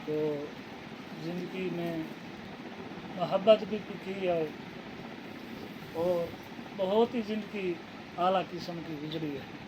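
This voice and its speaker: A man's voice: high voice, fairly even intonation, slow pace, long pauses, background noise. A man from a village in Multan district whose first language is Saraiki, answering interview questions politely and deferentially in short replies. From Multan district, Pakistan, village. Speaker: guest.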